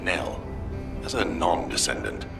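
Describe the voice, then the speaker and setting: An actor playing a stern, wary middle-aged commander in a video game, measured and authoritative. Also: male voice, deep voice, big pitch swings, vocal fry, music in the background, exaggerated voice. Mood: skeptical. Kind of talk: scripted video game acting.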